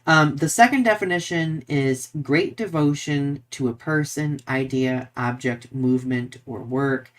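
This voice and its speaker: A man's voice: mid-range voice, measured pace, hardly any pauses. A man co-hosting a live podcast in a casual, conversational way, thinking aloud as he explains things. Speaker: podcast co-host.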